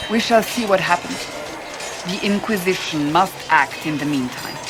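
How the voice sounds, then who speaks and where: A woman's voice: on the low side, lively intonation, normal speed, over music, lightly acted. An actress playing a stern, devout rebel warrior woman in a video game, speaking formally and earnestly. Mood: sober explaining. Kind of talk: dramatic video game dialogue.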